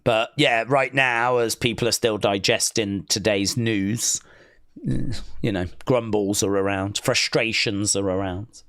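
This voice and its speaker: A man's voice: fairly low pitch, fairly even intonation, a little slow, fairly bright tone, no room sound, clean studio sound. A man hosting a live wrestling stream, chatting loosely and reading out chat, his voice weak as if from a cold. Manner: rambling, hesitant, reads chat aloud. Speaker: wrestling watch-along streamer.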